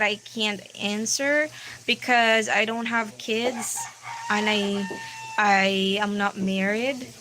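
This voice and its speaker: Woman in her twenties, Filipino accent, slow relaxed pace, chatty livestream host, steady hiss behind. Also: fairly high pitch. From Philippines. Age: 20s. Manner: chatty, reads viewer questions aloud, frequent restarts. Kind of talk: casual live Q&A reading chat. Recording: noisy home livestream.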